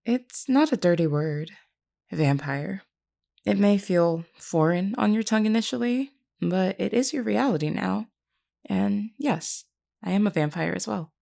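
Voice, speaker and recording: A woman playing a gentle, courteous vampire queen in an intimate audio roleplay, speaking politely and reassuringly. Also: medium pitch, lively intonation, a little slow, creaky. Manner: polite, soft-spoken reassurance with hesitant asides.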